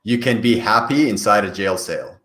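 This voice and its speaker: Low-voiced man, native Farsi speaker, echoey room. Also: animated, moderate pace, fairly bright tone. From Iran. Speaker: career coach, podcast guest. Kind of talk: conversational interview answers.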